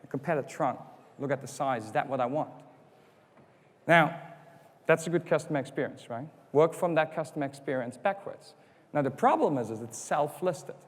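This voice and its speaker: A man's voice: fairly high pitch, moderate pace. A man whose first language is not English, giving a conference keynote, explaining step by step and asking rhetorical questions. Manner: explanatory, rhetorical questions, acts out customer quotes. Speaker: conference speaker. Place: conference hall. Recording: hall reverb.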